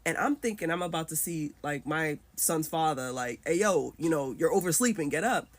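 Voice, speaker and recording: A woman's voice: deep voice, expressive, moderate pace. A woman in her thirties retelling a personal story in a casual, emotional way, acting out the voices she quotes.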